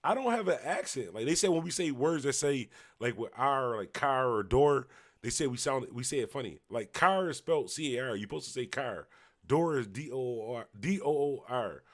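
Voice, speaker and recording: A man from Buffalo with a Western New York accent, a little tipsy, rambling loosely as he hosts a late-night livestream and shouts out viewers. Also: medium pitch, lively intonation, normal speed. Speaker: livestream host. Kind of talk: casual livestream chat reading comments.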